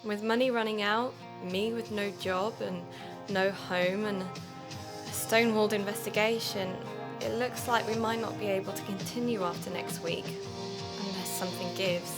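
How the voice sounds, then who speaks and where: A woman's voice: medium pitch, steady tone, moderate pace, over loud music, close to an everyday voice. A woman playing an earnest, curious young investigative podcaster in a naturalistic audio drama, talking as if thinking aloud. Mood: downcast. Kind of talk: naturalistic audio drama acting, narrating into recorder.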